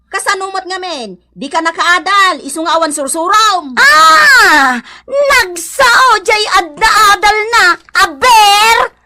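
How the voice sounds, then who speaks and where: Shrill, hot-tempered village mother. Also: animated, slow pace, noisy background, exaggerated voice. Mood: shrill scolding. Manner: scolding, taunting, spitting insults. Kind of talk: radio comedy drama acting.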